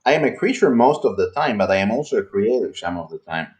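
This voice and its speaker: A man who grew up in Italy speaks slightly formal English as a second language, cutting in to question and press on each step of the argument. His voice sits on the lower side. He talks over a voice call from home. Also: sing-song, a little mellow. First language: Italian. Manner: interrupting, probing, deliberate. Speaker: debater. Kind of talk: casual online debate. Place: family home.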